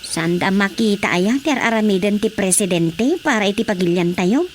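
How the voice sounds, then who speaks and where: A woman's voice: mid-range voice, normal speed, lightly acted. An actress playing a curious, concerned woman trading news in a radio drama comedy. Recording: background ambience noise.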